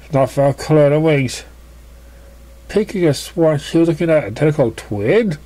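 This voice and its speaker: A man reading scripted story narration, somewhat haltingly, stumbling over words now and then. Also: a little high, lively intonation, mellow. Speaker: story reader.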